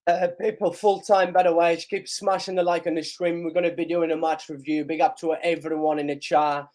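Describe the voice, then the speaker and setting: A young man hosting a live football reaction stream, excitable and hoarse from losing his voice, urging viewers to like and share. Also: a little high, a little fast.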